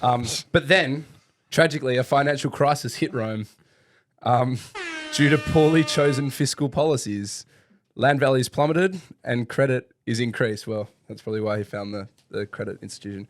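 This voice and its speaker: A man's voice: mid-range voice, moderate pace. A man co-hosting a podcast in casual chat, joking and laughing as he reads out trivia. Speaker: podcast co-host.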